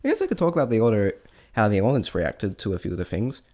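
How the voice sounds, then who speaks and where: Casting: male amateur reviewer, lowish voice, stammering, unscripted, loses his thread and starts again. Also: sing-song, fast talker, dark tone. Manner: hesitant, stammering, often breaking off mid-thought. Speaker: amateur reviewer sharing opinions. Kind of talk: unscripted, rambling spoken review.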